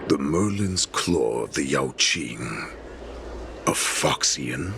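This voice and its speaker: An actor playing an ancient, imperious wolf-beast warlord in a video game, menacing, contemptuous and slow, with a very deep gravelly voice. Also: male voice, expressive, music in the background, heavily acted. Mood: intrigued menace. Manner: slow, haughty, theatrical.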